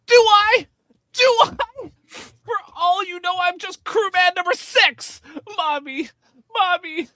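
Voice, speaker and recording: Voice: extremely high pitch, slow, pushed and bright, dry recording, full character voice. A performer playing a panicky, whiny, fatalistic bit-part actor in a comedic live script read. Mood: hysterical. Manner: anxious, whiny, escalating rambling.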